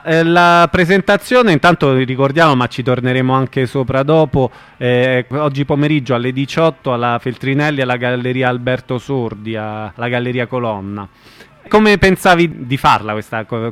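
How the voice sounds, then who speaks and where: A man hosting an informal radio interview, phrasing things haltingly with searching pauses. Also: mid-range voice, fairly bright tone, clear, resonant tone, dry recording.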